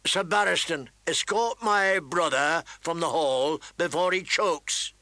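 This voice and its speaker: A man's voice: high voice, expressive, slow, vocal fry, pushed and bright, dry recording, pitched above the performer's own voice, full character voice. A man voicing a burly, bearded king with a commanding, snapping, irritated manner in a storybook reading. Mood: stern command.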